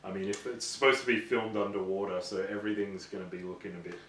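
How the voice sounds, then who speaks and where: A man's voice: fairly low pitch, fairly even intonation, fast, a bit bright, slight background noise. A man co-hosting a casual show of banter about games and films. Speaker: video show co-host. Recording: echoey room, distant mic.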